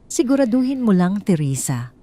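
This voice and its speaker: A woman's voice: medium pitch, big pitch swings, moderate pace, dark tone, pitched above the performer's own voice, natural voice. A woman voicing a stern, suspicious older rich mother-in-law who speaks formally and with authority in an audio drama story. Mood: stern warning.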